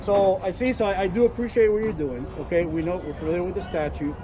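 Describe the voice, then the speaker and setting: Male cop, talks fast and kinda high, polite, explaining stuff, busy street noise. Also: steady tone, crowd noise, low-quality recording. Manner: polite, conciliatory. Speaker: police officer. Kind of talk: conversational explaining. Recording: outdoor street, traffic, people around.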